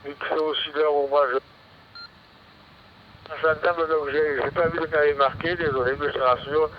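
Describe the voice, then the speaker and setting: A man's voice: fairly high pitch, flat delivery, moderate pace, crowd noise. A man chatting casually over amateur radio, rambling and repeating himself as he goes. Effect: voice heard over radio. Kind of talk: casual radio contact chat. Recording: dull radio link, faint noise.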